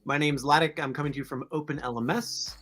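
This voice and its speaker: A man's voice: fairly high pitch, steady tone, fast, a bit bright, soft background music. A man hosting a live video interview show, enthusiastic and rambling, often restarting his sentences. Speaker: host.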